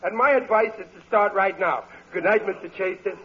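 A man's voice: high-pitched, a little fast, close to an everyday voice. A man acting in an old-time radio comedy as a bumbling, stammering working-class fellow posing as a doctor. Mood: bluffing. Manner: stammering, blustering, evasive. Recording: muffled, noisy vintage radio broadcast.

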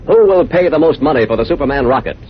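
A man's voice: fairly high pitch, animated, quick pace, heavy background noise. An American man announcing in punchy 1940s radio advertising style. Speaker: commercial announcer. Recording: dull old radio broadcast.

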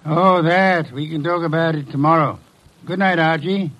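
An actor playing a grumpy, haughty detective genius, pompous and curt, in old-time radio drama style. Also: male voice, a little high, moderate pace, fairly bright tone, natural voice. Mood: haughty, unruffled. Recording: noisy old radio recording.

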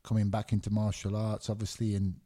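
A man's voice: fairly low pitch, monotone, brisk, mellow. A middle-aged man, born in Scotland and raised in England, talking candidly as a guest on a casual podcast, rambling with lots of fillers. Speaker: MMA referee, guest.

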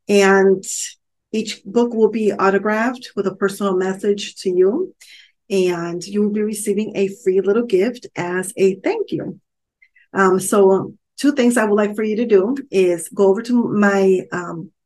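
A woman hosting her own solo podcast, speaking in an upbeat, encouraging way with lots of "um" fillers. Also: medium pitch. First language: not Spanish; English first language. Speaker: podcast host and author.

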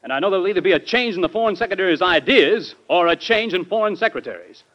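Earnest young son, lively pitch. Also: male voice, fast talker. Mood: confident, eager. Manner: hesitant, polite, pleading. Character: earnest young adult son of the president, eager, deferential. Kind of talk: old-time radio drama acting. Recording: dull old radio broadcast recording.

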